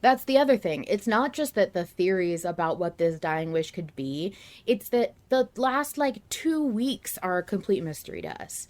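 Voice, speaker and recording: A woman's voice: medium pitch, big pitch swings, normal speed, darkish tone. A woman hosting a podcast, chatting casually in earnest, emphatic, run-on thoughts about a story she follows as a fan. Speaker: podcast host. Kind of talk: casual fan discussion of an audio drama.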